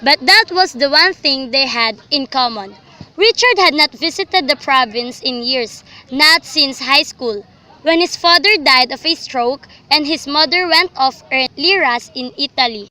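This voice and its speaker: A young woman narrates a radio drama. Her voice sits high and has a bright, forward edge, and she delivers the scripted story as a storyteller. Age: young adult.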